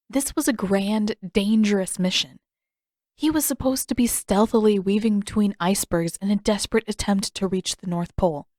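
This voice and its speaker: A woman narrates a story from a script. Her voice sits at a medium pitch. The recording is dry and close, in audiobook style. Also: darkish tone, dry recording.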